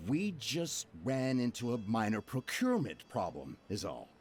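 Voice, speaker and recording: An actor voicing a scheming male merchant in a video game, speaking in a slow, obsequious, reassuring way. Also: lowish voice, darkish tone. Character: scheming male merchant, fawning to his backers.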